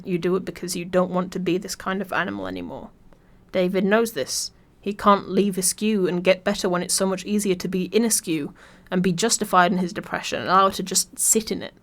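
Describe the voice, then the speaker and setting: Woman, video essayist, wry and informal delivery, medium pitch, scripted narration.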